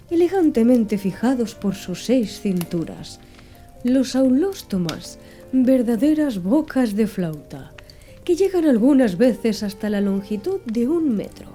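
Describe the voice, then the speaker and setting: Soft, mellow-voiced woman narrating an audiobook. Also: medium pitch. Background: faint background music.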